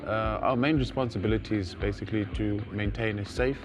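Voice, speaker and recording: A man with a South African accent and a fairly low voice answers as a studio interview guest. His explanations are formal but halting, and music plays clearly behind him. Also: fairly even intonation. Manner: hesitant, formal, stop-start phrasing. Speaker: guest, air traffic controller.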